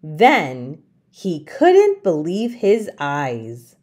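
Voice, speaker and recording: A woman hosting a children's storytime, reading aloud expressively with deliberate, emphatic phrasing. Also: deep voice, wildly swooping pitch, dark tone. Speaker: children's storytime host and reader.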